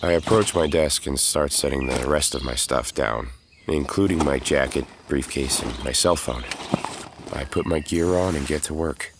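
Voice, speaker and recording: A man's voice: very deep voice, moderate pace, noisy background. A man giving scripted narration for an audio drama, telling the story in the present tense. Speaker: narrator and lead character. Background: music.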